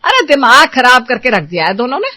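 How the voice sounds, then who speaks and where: A woman's voice: high-pitched, very animated, brisk, pushed and bright, no echo, studio quality, pitched above the performer's own voice, full character voice. A woman playing a bossy, exasperated mistress of a household in a story, complaining emphatically.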